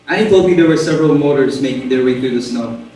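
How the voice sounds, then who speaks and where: A man's voice: medium pitch, quick pace, natural voice. A man playing a polite, dutiful household butler in an amateur dramatic reading of a comic stage play, speaking formally and deferentially. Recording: very echoey room, distant mic, faint noise.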